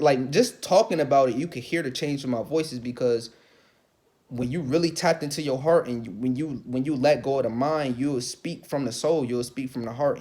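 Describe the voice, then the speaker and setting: Man vlogger, unscripted rant, profane and repetitive, mid-pitched, breaks into loud excited peaks. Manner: swearing, rambling, repeats himself, rises to loud excited outbursts; calls his own voice light. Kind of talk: casual rant to camera.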